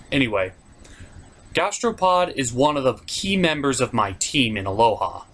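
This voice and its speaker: A man's voice: medium pitch, very animated, unhurried, fairly bright tone. A man hosting a top 10 countdown, giving opinionated video game commentary in an informal, emphatic way. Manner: informal, emphatic, with mild swearing.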